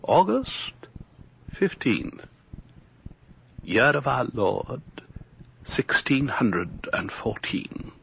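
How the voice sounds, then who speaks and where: An actor playing a pompous, fussy official who haltingly composes a formal letter in a radio drama. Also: male voice, mid-range voice, sing-song, creaky, heavily acted. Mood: fussy, uneasy. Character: hesitant, pompous official reporting delicately to his prince. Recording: dull old radio recording, faint noise.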